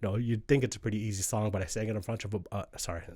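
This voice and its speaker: A man in his forties, from the United States, talks quickly in a lowish voice as a guest. He rambles through his stories in an easygoing way and laughs often. The sound is dry and close. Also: fairly even intonation, a little mellow, no room sound. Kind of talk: relaxed conversational storytelling.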